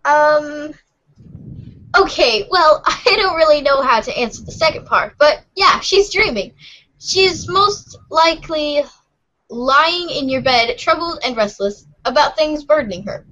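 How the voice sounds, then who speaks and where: Woman playing a high-voiced, earnest teenage girl. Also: lively intonation, measured pace, bright, forceful tone, full character voice, poor audio. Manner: casual, patient explaining. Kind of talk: amateur readers' theater of a webcomic.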